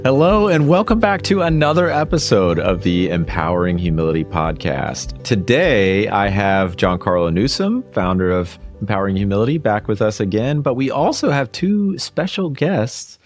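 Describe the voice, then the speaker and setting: A man's voice: low voice, expressive, normal speed, faint music behind. A man hosting an interview podcast, asking long, rambling, friendly questions with frequent restarts. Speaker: podcast host.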